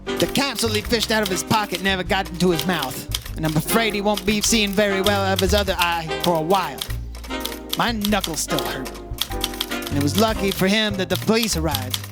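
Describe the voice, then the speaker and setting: Radio detective, male actor: high-pitched, hardboiled and sardonic, firing off clipped wisecracks. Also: brisk, loud music behind the voice, close to an everyday voice. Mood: wry narration. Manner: sardonic, taunting, clipped phrases. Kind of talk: old-time radio detective drama acting and narration.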